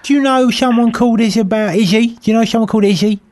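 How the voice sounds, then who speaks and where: A man's voice: very high voice, steady tone, fast, darkish tone. A man hosting a radio phone-in, teasing and playful with the caller. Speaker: radio host.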